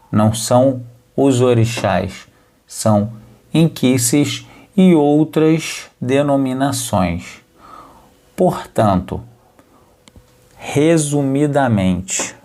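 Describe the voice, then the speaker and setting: Brazilian guy doing a podcast lesson for learners, talks super slow, soft dark tone, careful with every word. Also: mid-range voice. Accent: Brazilian Portuguese. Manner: deliberate, short phrases with pauses, clearly enunciated for learners. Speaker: podcast host and capoeira teacher. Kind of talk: slow educational narration for language learners.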